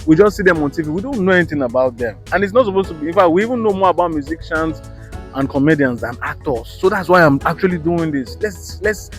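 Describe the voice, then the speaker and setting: A man's voice: fairly high pitch, lively intonation, fast, soft background music. A young Nigerian man with a Nigerian accent, hosting a chatty interview in a playful way and slipping into pidgin. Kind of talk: casual interview chat.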